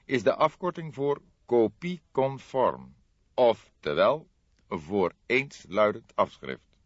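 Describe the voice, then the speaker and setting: A seasoned older male detective, played by a man narrating an audiobook, deliberate and wry. He speaks thoughtfully with a teasing edge, close and dry in a slightly muffled recording. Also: mid-range voice, very animated, husky, dry recording, exaggerated voice. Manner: thoughtful, measured, dryly teasing. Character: grey-haired veteran male detective, deliberate, wryly jovial. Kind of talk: audiobook character acting.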